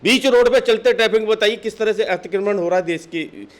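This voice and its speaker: A man with a notably high voice speaks as a political official in an interview. He is argumentative and insistent, pressing his case with one rhetorical question after another. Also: fairly quick. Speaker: political party district official being interviewed. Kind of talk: impassioned interview answers.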